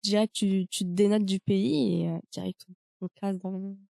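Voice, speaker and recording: A woman's voice: mid-range voice, steady tone, moderate pace, creaky, warm tone, no echo, studio quality. A young woman from France with a French accent, a guest talking casually with many hesitations and fillers. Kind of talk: casual conversation.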